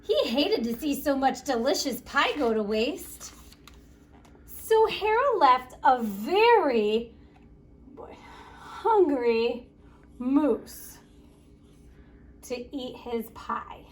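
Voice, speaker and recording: A woman reading a picture book aloud to children in an expressive, playful storytelling way, with chatty asides. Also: high voice, drawn-out words, long pauses. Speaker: story time reader.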